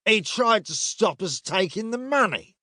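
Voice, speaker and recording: Man playing a swaggering drunken Roman soldier, pitched up. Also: sing-song, a little slow, bright, forceful tone, dry recording, clean studio sound, full character voice. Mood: defiant. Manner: belligerent, boastful.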